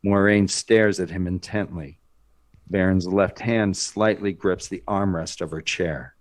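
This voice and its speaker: A man's voice: low-pitched, steady tone, measured pace. A man narrating stage directions as scripted narration in a screenplay table read.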